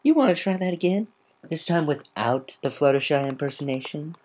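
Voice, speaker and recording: A woman's voice: sits low, expressive, normal speed, darkish tone, pitched above the performer's own voice. A woman voicing a brash, cocky but caring female Pegasus with breezy, teasing, casual talk.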